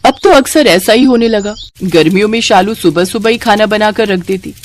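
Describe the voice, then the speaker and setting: A woman's voice: mid-range voice, a little fast, slight background noise. A woman storyteller reading scripted narration for a moral tale.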